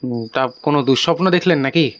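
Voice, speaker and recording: A man's voice: medium pitch, brisk, a bit bright, natural voice. A man playing a concerned, polite car driver in an audio drama, speaking deferentially with some hesitation. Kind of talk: Bengali audio drama acting.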